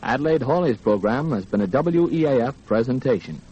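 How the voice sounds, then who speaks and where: A man's voice: medium pitch, expressive, a little fast, mellow, slightly rough. A man announcing for a network in a formal 1940s radio sign-off style. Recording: muffled lo-fi 1940s radio recording.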